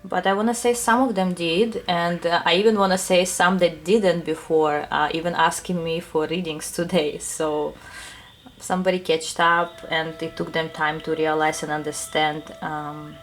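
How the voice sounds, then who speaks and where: A woman with a Russian accent, a tarot reader answering her viewers' questions in a soft, unhurried casual talk full of small fillers. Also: sits low, level tone.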